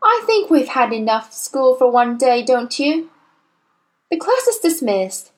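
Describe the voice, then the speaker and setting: A woman's voice: high-pitched, very animated, a little slow. A woman voicing a kind young schoolteacher in a story, speaking earnestly, firmly and politely. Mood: relieved.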